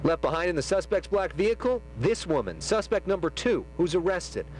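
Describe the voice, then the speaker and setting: A man's voice: sits high, very animated, fairly quick, over loud music, low-quality recording. A man narrating a scripted television news package as a reporter.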